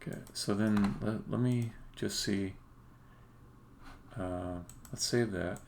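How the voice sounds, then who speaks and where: A man's voice: lowish voice, takes it slowly, gravelly, a little mellow. A man streaming live coding as a game developer, talking casually and bluntly in unscripted, sometimes sweary fragments as he thinks aloud. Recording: faint background noise, keyboard clicks.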